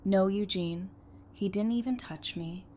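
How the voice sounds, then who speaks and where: A woman acting a defiant, sharp-tongued but protective survivor in an audio drama, blunt and intense in her delivery. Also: medium pitch, a little slow, natural voice. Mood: tense, bitter. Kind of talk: full-cast fan audio drama acting. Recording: clear background noise.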